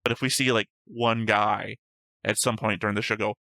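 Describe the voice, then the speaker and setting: A man's voice: fairly low pitch, little pitch movement, moderate pace, vocal fry, sharp tone, dry recording, clean studio sound. A man co-hosting an anime reaction show, talking through his notes in casual, winding explanations and poking fun at himself. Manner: chatty, self-deprecating, long winding explanations. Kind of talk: casual discussion of an anime episode.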